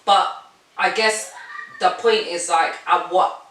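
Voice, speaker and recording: A British woman co-hosting a podcast in casual chat, blunt and animated, with plenty of slang. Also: lowish voice, slow pace. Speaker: podcast co-host. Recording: roomy echo, distant from the mic.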